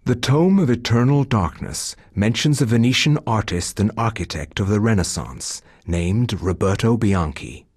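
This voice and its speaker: An actor playing a grave, learned narrator of dark history in a video game, husky, measured and ominous. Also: male voice, fairly low pitch, no echo, studio quality, exaggerated voice. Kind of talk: scripted dramatic game narration.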